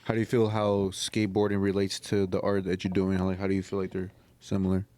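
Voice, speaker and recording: A man with a fairly low voice talks as a podcast co-host. The sound is dry, with little room around it. His talk is casual, full of slang and swearing. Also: completely flat delivery, fast talker, a little mellow, dry recording. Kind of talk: casual chat, slangy and profane.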